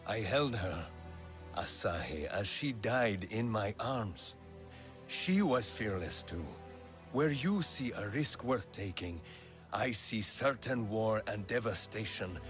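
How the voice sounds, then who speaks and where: An actor playing a stern, grieving alien clan leader, a widowed father, solemn and measured in a video game. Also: on the low side, animated, fairly bright tone, faint music behind. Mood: grieving, fearful. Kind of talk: dramatic video game acting.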